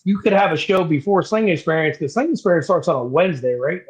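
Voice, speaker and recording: Husky high-voiced man, eager. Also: animated, quick pace. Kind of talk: casual livestream chat.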